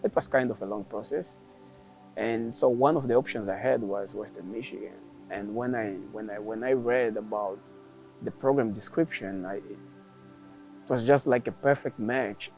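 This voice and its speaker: Gravelly-voiced man from Angola. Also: on the low side, moderate pace, darkish tone, soft background music, rough recording. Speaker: graduate student. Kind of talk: interview.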